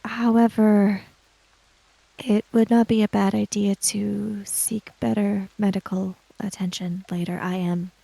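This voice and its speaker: A woman's voice: mid-range voice, little pitch movement, a little slow, gravelly, warm tone, dry recording, low-quality recording. A woman voicing a polite, hesitant young female investigator in a tabletop game, with careful, formal phrasing. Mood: gentle, apologetic.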